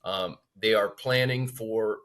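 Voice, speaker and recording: An American man co-hosting a sports show, talking conversationally and earnestly, a little halting as he builds his points. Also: fairly low pitch, fairly bright tone. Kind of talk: conversational sports talk analysis.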